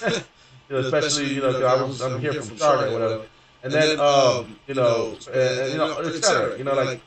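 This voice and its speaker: A man's voice: mid-range voice, a bit bright. A young man from Houston, Texas, telling a long story in rambling, profane casual talk full of fillers and restarts. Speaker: gaming streamer. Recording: very echoey room, distant from mic.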